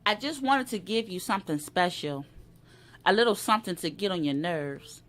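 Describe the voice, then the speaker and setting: A woman's voice: lowish voice, lively intonation, moderate pace, fairly bright tone. A woman giving a dramatic reading of poetry. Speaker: poetry reader. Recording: live music under the voice. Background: plucked guitar music.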